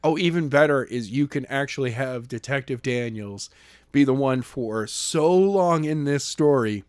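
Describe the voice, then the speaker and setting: A man's voice: mid-range voice, measured pace, smooth, no room sound, clean studio sound. A man in casual podcast chat, joking and acting out characters in put-on voices. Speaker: narrator and co-host.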